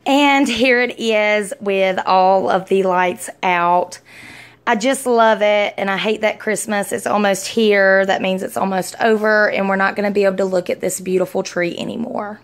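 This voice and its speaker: A woman's voice: medium pitch, steady tone, normal speed, clear, resonant tone. A young adult woman with a Southern US accent, chatting casually as she vlogs on a phone at arm's length. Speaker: vlogger. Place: small home rooms and inside a car.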